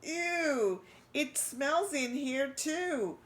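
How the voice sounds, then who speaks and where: A woman doing a squeaky excited young mouse. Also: very slow, slight background noise, heavily acted. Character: young male church mouse, eager and squeaky.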